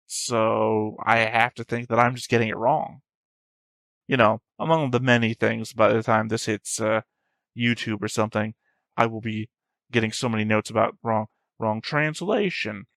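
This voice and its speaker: A man's voice: on the low side, fairly bright tone, dry recording. A man hosting a reaction video, giving casual analytical commentary in a rambling, thinking-aloud way.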